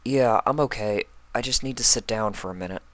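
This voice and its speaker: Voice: medium pitch, brisk, a bit bright, no room sound, voice pushed up, natural voice. A performer voicing a gallant, devoted young male pony in a cartoon fan story, with an earnest, heartfelt manner. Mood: sincere.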